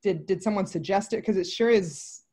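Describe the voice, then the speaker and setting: Woman, thirties, mid-pitched voice, interview guest, casual, rambling asides, video call. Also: a little fast. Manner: rambling, animated phrasing with many asides. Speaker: film writer and director, interview guest. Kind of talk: casual, thinking-aloud interview answers. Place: indoor room on a video call.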